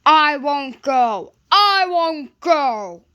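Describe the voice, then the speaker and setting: A woman voicing a pompous male steam engine in a children's storybook, grumbling and spluttering with drawn-out indignation. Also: sits high, lively intonation, sharp tone, muffled recording, voice pushed up, heavily acted. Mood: cross, grumbling.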